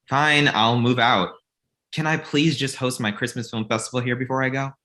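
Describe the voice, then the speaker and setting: A man's voice: medium pitch, fairly even intonation, unhurried, bright, forceful tone. A man playing a naive, earnest, slightly whiny son in his thirties who adores Christmas, in a comedy table read. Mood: hopeful. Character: naive thirty-six-year-old son, childishly devoted to Christmas and Santa.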